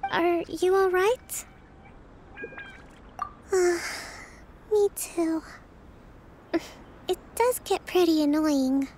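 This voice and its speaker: A woman voicing a gentle young leader in a video game, polite and measured, composed but weary. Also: very high-pitched, slight background noise, full character voice. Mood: weary, patient. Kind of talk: English video game voice acting.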